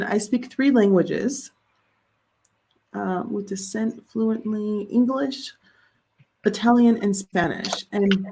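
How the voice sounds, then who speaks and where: A woman hosting a live writing sprint, chatting casually and reading viewer comments aloud with easy laughs. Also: medium pitch. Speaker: livestream host of writing sprints.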